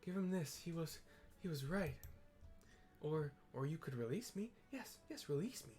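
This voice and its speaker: A man voicing a desperate, spellbound sailor in a video game, pleading in halting repetitions. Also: a little high, sing-song, a little mellow, faint music behind, voice pushed up. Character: desperate, spellbound male sailor begging for release.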